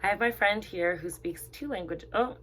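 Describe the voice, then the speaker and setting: A woman's voice: medium pitch, moderate pace, bright, forceful tone. A young woman interviewing a friend in casual chat, laughing often and filling gaps with "um" and "like". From Zimbabwe. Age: young adult.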